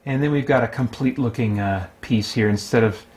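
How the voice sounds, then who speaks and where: A man hosting a solo tabletop game, giving casual, unscripted commentary and haltingly thinking aloud as he plays. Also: mid-range voice, a little mellow.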